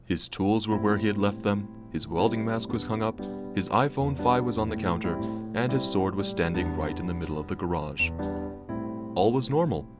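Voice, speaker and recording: An actor playing a droll, tongue-in-cheek storyteller, narrating a comic audio play in a dramatic style. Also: male voice, fairly low pitch, normal speed, loud music behind the voice, close to an everyday voice. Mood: hushed suspense. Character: droll, mock-epic male storyteller.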